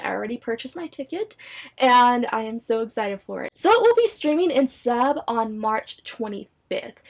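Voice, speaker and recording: A woman in her twenties chatting casually and enthusiastically to viewers like a gushing fan sharing news. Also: high voice. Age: 20s. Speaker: anime and book video creator.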